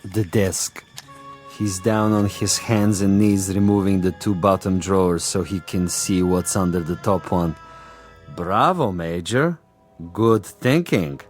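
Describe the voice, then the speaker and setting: An actor playing a smug, cunning commissar and assassin in a vintage television drama, with a pompous, savoring delivery. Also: male voice, low voice, takes it slowly, faint music behind. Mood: gloating. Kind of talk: 1960s television drama acting.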